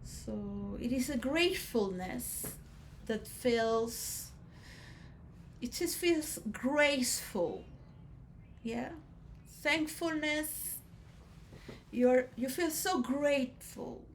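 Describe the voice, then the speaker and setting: A woman giving a rambling philosophical monologue to role players, shifting between soft musing and loud emotional outbursts. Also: high-pitched, extremely slow, slight background noise. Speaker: speaker addressing role players.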